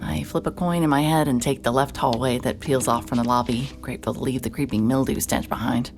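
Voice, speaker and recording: A young woman narrating a horror audio drama in first person, tense and breathless, dropping to whispers and breaking into sudden shouts. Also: a bass voice. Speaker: narrator and lead character.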